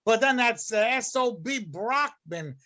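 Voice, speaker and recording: Man playing a high, sharp, griping lunch truck guy. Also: animated, unhurried, full character voice. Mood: brash. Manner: streetwise griping, slangy. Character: grumpy middle-aged lunch truck man, gruff and griping. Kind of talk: amateur readers theater reading. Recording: videoconference call audio.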